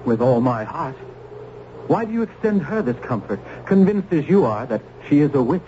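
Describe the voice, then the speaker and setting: A man's voice: fairly high pitch, very animated, normal speed, mellow. An actor playing a compassionate, earnest young clergyman of colonial times, formal and theatrical in a radio drama. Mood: earnest, polite. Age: young adult. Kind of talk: old-time radio acting. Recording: dull, hissy old radio recording.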